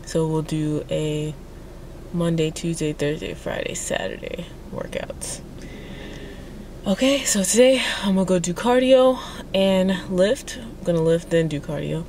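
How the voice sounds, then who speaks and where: A woman's voice: lowish voice, lively intonation, unhurried, darkish tone, a little hiss or hum. A young woman with a congested voice and a sore throat, chatting casually about her day in a fitness vlog from the gym, rambling with lots of fillers. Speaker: fitness vlogger.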